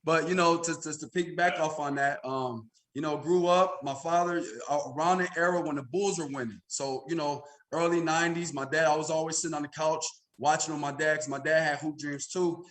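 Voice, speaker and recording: A man's voice: fairly high pitch, fairly even intonation, brisk, a bit bright. An American man in his thirties from Chicago, telling personal stories casually as an interview guest, often checking in with "right?". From Chicago, United States. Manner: conversational, frequent "you know" and "right?" tags. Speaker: podcast guest, insurance agency senior executive.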